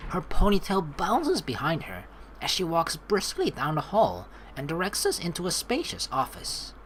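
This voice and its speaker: A woman's voice: on the low side, moderate pace, a little hiss or hum, low-quality recording. A woman hosting a let's play, reading game text aloud and adding chatty, informal commentary. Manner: animated, informal, chatty asides.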